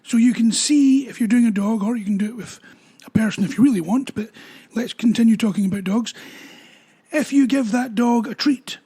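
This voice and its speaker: Husky-voiced man. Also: very high-pitched, a little fast. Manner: relaxed, explains with everyday examples. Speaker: dog trainer. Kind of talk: explanatory talk to camera.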